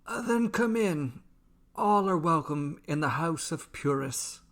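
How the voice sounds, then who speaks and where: A man's voice: on the high side, expressive, slow, a bit bright, voice pushed up, heavily acted. A man voicing a kindly, apologetic village priest in a fantasy story, with a polite, formal manner. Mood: hesitantly welcoming.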